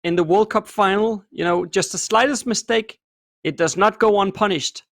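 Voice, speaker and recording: Gravelly Danish man chatting on a podcast. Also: high-pitched, normal speed, no echo. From Denmark. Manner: relaxed storyteller with frequent fillers and restarts. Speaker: podcast co-host. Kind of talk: casual chat.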